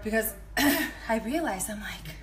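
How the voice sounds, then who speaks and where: A woman's voice: medium pitch, slow pace. A pregnant woman in her thirties, a nutrition coach, chatting casually and rambling through meal prep tips in her home kitchen, filmed on a phone held at arm's length. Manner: chatty, rambling, animated asides. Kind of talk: casual live video tips.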